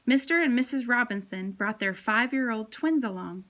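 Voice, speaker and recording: A woman reading a children's chapter book aloud as a teacher, with expressive storytelling. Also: on the high side, normal speed.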